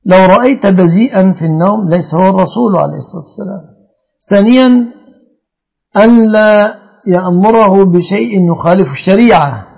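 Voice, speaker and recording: A man's voice: high voice, gravelly, husky, muffled recording. A man, a religious scholar, answering callers' questions in formal speech and setting out rulings point by point. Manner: formal classical Arabic, lays out rulings point by point. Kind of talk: call-in fatwa answers.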